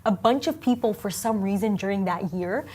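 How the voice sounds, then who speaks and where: A woman's voice: medium pitch, moderate pace, mellow. A woman in her twenties, chatting animatedly as a co-host and using many fillers like "you know". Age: 20s. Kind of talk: casual studio chat.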